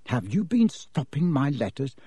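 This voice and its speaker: A man's voice: medium pitch, sing-song, moderate pace, dark tone, breathy edge, dry recording, clean studio sound. A man voicing an earnest young boy, frightened and indignant, in an audiobook. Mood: suspicious. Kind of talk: audiobook character voice.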